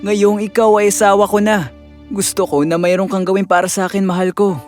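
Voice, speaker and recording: A man's voice: sits high, level tone, fairly quick, soft background music, lightly acted. A man playing an earnest, insistent young prince in a dramatized children's fairy tale. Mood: coaxing, insistent.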